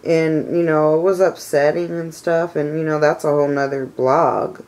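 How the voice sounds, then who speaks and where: A low, flat-voiced woman in her thirties, raspy and stuffed up from a cold. She rambles and vents in a casual vlog, circling back on her complaints with plenty of "you know"s. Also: normal speed. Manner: frustrated, venting complaints. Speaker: vlogger. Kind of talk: casual personal vlog rant.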